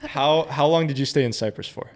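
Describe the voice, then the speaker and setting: A man's voice: a little high, a little fast. Man, podcast host, casual chat, rambling, self-correcting.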